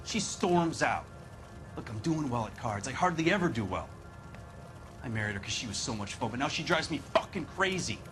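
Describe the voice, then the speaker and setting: An American actor playing a defensive, resentful husband under police suspicion in a video game, speaking plainly. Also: male voice, medium pitch, sing-song, fairly quick, over music, close to an everyday voice, poor audio. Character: defensive, resentful husband suspected of killing his wife. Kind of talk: video game dramatic acting.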